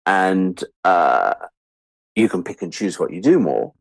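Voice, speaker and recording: A man's voice: sits low, lively intonation, slow pace, creaky, dry recording. A British man of about sixty chatting casually and reflectively as an interview guest, candid and wry, repeating words for emphasis. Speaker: interview guest, freelance media producer.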